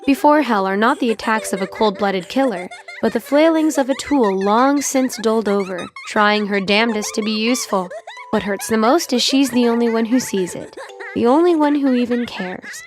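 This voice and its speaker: A woman's voice: on the high side, normal speed, faint music behind. A woman reading scripted, dramatic story narration for an audio drama.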